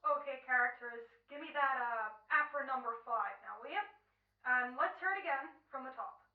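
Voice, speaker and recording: A woman's voice: sits high, moderate pace, roomy sound, close to an everyday voice. Woman as fussy director, distant and muffled. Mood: dissatisfied. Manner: hesitant, fussy critiquing. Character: George, dissatisfied male director calling from control booth. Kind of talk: old-time radio drama acting.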